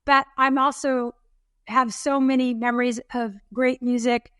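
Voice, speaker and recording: A woman's voice: sits high, flat delivery, unhurried, a bit bright, clean tone, dry recording, studio quality. A woman in her fifties co-hosting a podcast, chatting casually and encouraging listeners. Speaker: podcast co-host. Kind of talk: casual chat.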